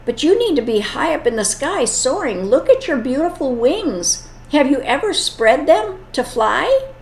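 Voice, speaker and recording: A woman's voice: high-pitched, very animated, unhurried, pitched above the performer's own voice, full character voice. A woman voicing an earnest male eagle who urges a young bird to fly. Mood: urging. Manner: earnest, urging. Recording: echoey room.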